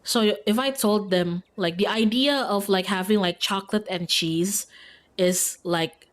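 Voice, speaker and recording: Girl streamer just chatting, casual, says like a lot, mid pitched voice. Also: measured pace. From Indonesia. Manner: informal, frequent "like", giggly. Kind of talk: casual livestream chat while playing a visual novel.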